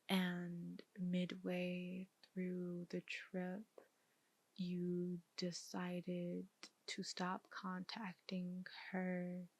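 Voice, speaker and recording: A woman performing a soft-spoken ASMR roleplay as a detective, with measured, deliberate questioning. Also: lowish voice.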